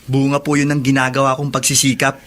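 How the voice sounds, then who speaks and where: A man's voice: mid-range voice, monotone, fast, a bit bright, close to an everyday voice. A man with a Filipino accent acting as an earnest, respectful young prisoner in a radio drama. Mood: humble, hopeful.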